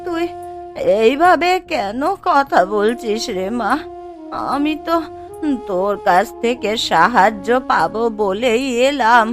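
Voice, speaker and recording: Casting: female actor as elderly cartoon wanderer, tired and imploring, drawn-out delivery over light music. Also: on the high side, lively intonation. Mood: weary pleading. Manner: slow, drawn-out pleading. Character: weary, thirsty old wandering beggar, pleading.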